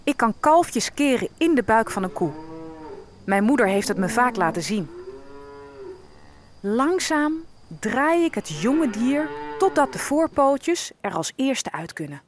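A woman's voice: a little high, sing-song, a little slow, long pauses, crowd noise. An actress playing an earnest freed captive woman who narrates her own story in a radio drama. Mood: earnest, reflective. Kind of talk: dramatic first-person narration.

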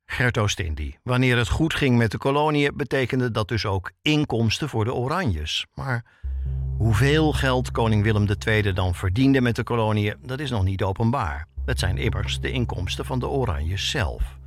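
A man's voice: mid-range voice, expressive, moderate pace. A man hosting a podcast with scripted documentary narration, told like a story. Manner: storytelling, with rhetorical questions. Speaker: podcast host and reporter.

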